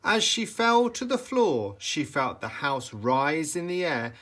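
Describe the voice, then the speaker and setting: Animated man reading a children's story. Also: on the high side. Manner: animated, dramatic, deliberate emphasis for young listeners. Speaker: storybook reader, teacher.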